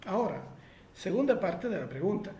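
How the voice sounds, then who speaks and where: A man's voice: high-pitched, sing-song, normal speed, dark tone, roomy sound. A man from Italy speaking Spanish with an Italian accent, answering listener questions in a reflective way with frequent fillers and restarts.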